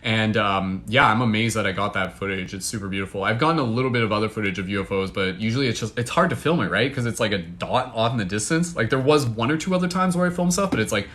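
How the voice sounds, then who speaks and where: A young man with a Canadian accent, chatting casually and excitedly to livestream viewers, full of fillers. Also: fairly low pitch, fast, few pauses. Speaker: livestream host.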